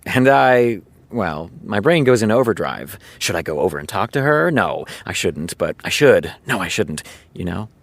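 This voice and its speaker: Casting for audio drama: easygoing, earnest TV writer, fairly deep, dry studio sound, naturalistic confiding delivery. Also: male voice, big pitch swings, no room sound. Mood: confiding storyteller. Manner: casual, confiding, storytelling with dramatic pauses. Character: easygoing male TV showrunner, chatty earnest raconteur.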